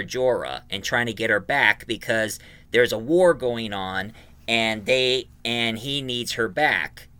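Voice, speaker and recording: A man giving an informal, emphatic review of an audio drama, recapping it in a halting way. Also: medium pitch, sharp tone, clean tone.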